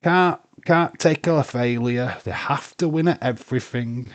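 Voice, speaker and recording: A man's voice: mid-range voice, a little slow, no room sound. A man hosting a casual livestream, arguing his point, stumbling over words and laughing. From England. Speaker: livestream host.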